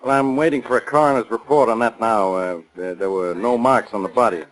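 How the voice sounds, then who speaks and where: An actor playing a gruff, matter-of-fact police inspector with an American accent in 1940s radio drama style. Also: male voice, medium pitch, brisk, close to an everyday voice, low-quality recording. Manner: blunt, slangy. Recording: remastered 1940s radio recording.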